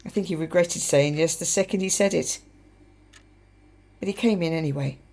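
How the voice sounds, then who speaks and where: Creaky-voiced grieving woman, played by an actress. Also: fairly low pitch, normal speed, darkish tone. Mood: subdued, reflective. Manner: reflective, repeats phrases for emphasis. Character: grieving widowed woman, frank, wry, wounded. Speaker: radio play actor. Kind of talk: naturalistic radio drama dialogue and narration.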